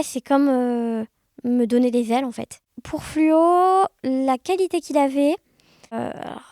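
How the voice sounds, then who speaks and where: Young woman, high voice, dry close sound, casual animated storytelling. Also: no room sound. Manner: animated, expressive, uses sound words. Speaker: podcast guest.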